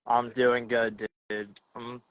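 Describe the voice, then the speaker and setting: A man's voice: medium pitch, flat delivery, takes it slowly, a bit bright, no echo, dull audio. A young man hosting a podcast in a casual, slangy, rambling chat over a video call, often restarting his sentences. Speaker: podcast host.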